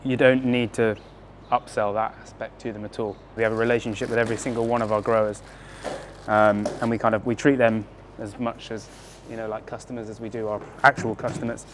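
A man's voice: fairly low pitch, little pitch movement, brisk. Produce guy explaining how it all works, very level voice, bit of noise behind. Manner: earnest, explains step by step with fillers. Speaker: produce supplier. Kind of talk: explanatory interview.

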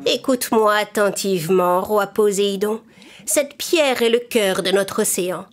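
A woman's voice: medium pitch, a little slow, faint music behind, natural voice. A woman voicing a solemn ancient ocean spirit in a cartoon, speaking in grave warnings. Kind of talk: cartoon voice acting.

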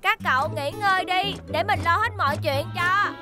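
A woman's voice: very high voice, normal speed, over music. A woman voicing a cheerful, boastful, childlike rescue helicopter robot in a children's cartoon dub. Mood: proud, cheerful.

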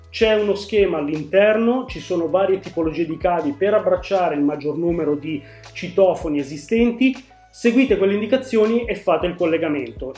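A man's voice: high-pitched, darkish tone. A man, presenting a product to camera in a casual, explanatory way. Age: 40s. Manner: explanatory, conversational. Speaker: tech channel host. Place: small room at home, indoors. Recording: echoey room, music underneath.

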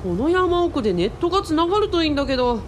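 Woman acting excitable boyish guy, lively pitch. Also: fast talker, slight background noise. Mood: excited, playful. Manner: casual, boyish, exclamatory speech. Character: boyish, excitable young male sidekick, Kaoru. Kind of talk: radio drama acting.

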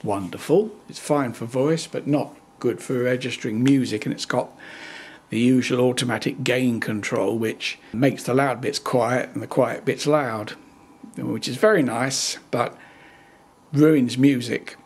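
An older man talking casually and technically about his home loudspeakers, with wry, plain-spoken asides, recorded on a camera's built-in microphone in a living room. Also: mid-range voice, measured pace, slight background noise. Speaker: hobbyist reviewer.

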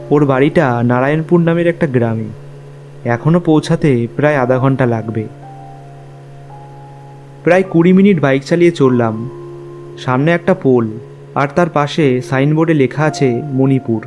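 A man's voice: on the high side, a little mellow, close to an everyday voice. A man playing a young journalist, narrating his own ghost story in a scripted cartoon style. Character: young male journalist recounting an eerie night. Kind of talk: scripted first-person horror story narration.

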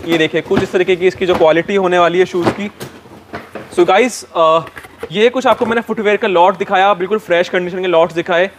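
Brief: male host with a high voice, upbeat salesy warehouse walkthrough, faint background noise. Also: steady tone, fairly quick. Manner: upbeat sales patter, addresses viewers directly. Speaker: YouTube host. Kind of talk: promotional warehouse tour.

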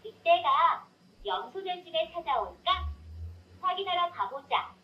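A woman's voice: very high voice, animated, measured pace, slight background noise. A woman's synthetic storyteller voice reading a children's tale and asking playful quiz questions, cheering the child on, in a roomy, distant, dull lo-fi recording. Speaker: talking doll storyteller.

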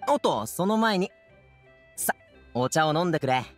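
A friendly young courier in an anime game. He sounds boyish and easygoing, with a very lively rise and fall in his speech. His delivery is casual and eager to help, over light music. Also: on the high side, normal speed. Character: cheerful, helpful, easygoing teenage boy courier.

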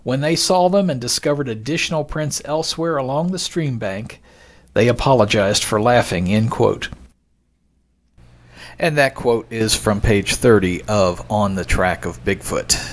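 Male podcast narrator, mid-pitched, measured and expository, reading from script and quoted sources.

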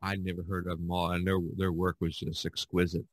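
A man's voice: deep voice, little pitch movement, a little fast, no room sound. An older man hosting a casual podcast chat, rambling and often repeating his phrases. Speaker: podcast host.

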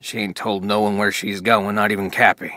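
A man's voice: lowish voice, level tone, fairly quick, sharp tone, no echo, studio quality. An actor playing a stern, grieving 1880s rancher father, reading journal entries with a rural Western American accent in a plain, folksy way. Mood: regretful, sorrowful. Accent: rural American West. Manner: plain-spoken, rustic, folksy.

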